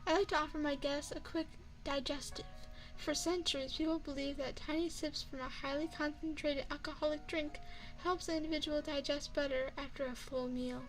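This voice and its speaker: A high, feminine voice belongs to a courtly vampire chef acted by a woman in an ASMR roleplay. She speaks with formal, refined poise and a teasing, seductive, quietly predatory air. The voice sits close to the microphone, with faint music underneath. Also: steady tone, normal speed, low-quality recording. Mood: gracious, poised. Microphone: close binaural microphone.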